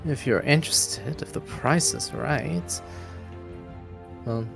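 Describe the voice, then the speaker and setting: A man voicing a shrewd, secretive shapeshifting woman informant in a tabletop game, sly and measured, hinting at deals. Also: mid-range voice, gravelly, over music, pitched above the performer's own voice. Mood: sly, bargaining.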